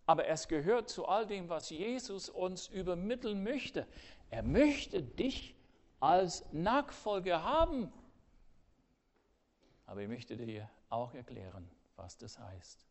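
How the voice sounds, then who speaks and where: An older man preaching a sermon earnestly, swinging between loud emphasis and hushed, quiet asides. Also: sits high, big pitch swings, slow, long pauses.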